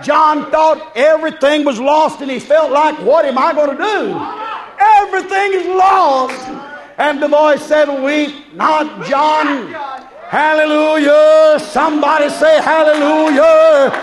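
A man preaching a fervent revival sermon, shouting exclamations, repeating refrains and calling on the congregation to respond. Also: extremely high pitch, slow pace. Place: preaching live before a congregation. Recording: live congregation heard around the preacher. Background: congregation crowd.

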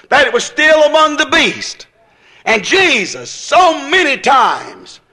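A man preaching an impassioned sermon in an emphatic, repetitive, folksy way, acting out dialogue as he goes. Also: very high voice. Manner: emphatic, repetitive, building phrases into shouts; folksy, colloquial phrasing.

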